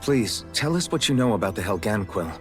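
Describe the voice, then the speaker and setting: An actor playing a polite, earnest young hero in a video game, speaking sincerely. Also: male voice, lowish voice, very animated, brisk, faint music behind. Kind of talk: video game cutscene voice acting.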